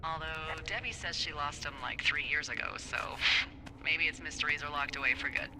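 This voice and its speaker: A woman's voice: low voice, moderate pace, background noise, natural voice. A woman playing a wry, teasing fire lookout supervisor in naturalistic video game dialogue, playful and sarcastic. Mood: dry, playful. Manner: playful, sarcastic, hesitant when earnest.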